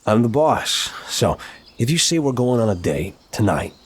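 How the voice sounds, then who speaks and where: A man playing an apologetic, flirtatious mafia boss in an intimate ASMR roleplay, speaking in a courteous, teasing, slightly halting way. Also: fairly low pitch, sing-song, husky, full character voice. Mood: warm, eager.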